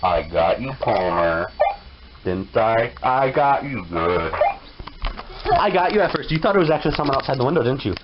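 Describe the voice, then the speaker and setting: A man's voice: medium pitch, sing-song, normal speed. A young male speaker chatting casually with family at home in a homemade prank video. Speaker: prankster older brother filming a home video. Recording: muffled, very noisy home camera audio.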